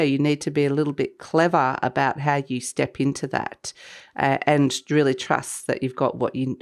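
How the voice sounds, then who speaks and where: A woman with a slightly croaky voice from a cold, giving a weekly tarot reading to camera in a meandering, reflective way. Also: low-pitched. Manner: meandering, reflective, intuitive musing. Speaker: tarot reader.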